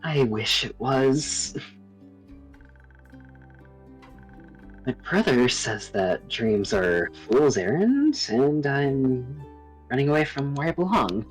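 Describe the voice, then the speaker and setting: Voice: medium pitch, lively intonation, takes it slowly, soft background music, low-quality recording. A performer voicing a wistful young forest ranger in a visual novel, earnest and dreamy, longing for adventure.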